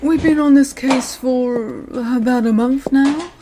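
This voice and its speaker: A woman playing a courteous senior lawyer in an audio roleplay, speaking in a polite, measured, professional way to the listener. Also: high-pitched, warm tone, a little hiss or hum. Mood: polite, businesslike. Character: courteous senior female lawyer showing a newcomer around.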